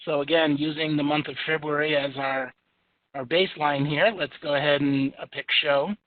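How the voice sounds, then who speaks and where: Male presenter, booming and relaxed with fillers, pitched a bit high; dry, phone-like recording. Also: moderate pace, no echo. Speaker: software demonstrator. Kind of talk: software screen-share demo. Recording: tinny phone-like audio, dry.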